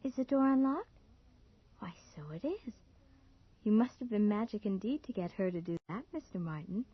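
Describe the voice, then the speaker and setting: Husky, mocking young woman played by an actress. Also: medium pitch, moderate pace, natural voice. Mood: teasing, eerie. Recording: muffled old radio drama recording.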